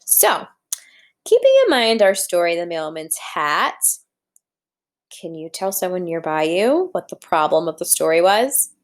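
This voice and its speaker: A woman teacher reading a storybook aloud to young children, talking to them directly and posing questions as she guides them along. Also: fairly low pitch. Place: indoors at a computer.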